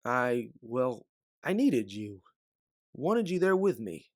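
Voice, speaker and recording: A man playing an older, protective bounty hunter mentor in an audio drama, speaking in an earnest, measured way. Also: mid-range voice, sing-song, dry recording, studio quality. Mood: confessional. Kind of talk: dramatic audio fiction acting.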